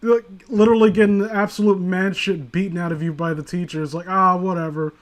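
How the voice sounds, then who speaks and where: Higher-voiced man chatting casually and cursing. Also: fairly even intonation, a little fast. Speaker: host of an online group commentary. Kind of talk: casual chat, opinionated commentary. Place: remote online voice call.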